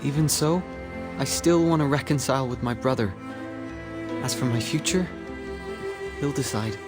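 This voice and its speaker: Here is a brooding young brother from a story-driven game, played by a man, melancholy yet set on his course. His middling-pitched voice delivers dramatic lines over clearly audible music. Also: normal speed, natural voice. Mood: earnest, wistful. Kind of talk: dramatic video game voice acting.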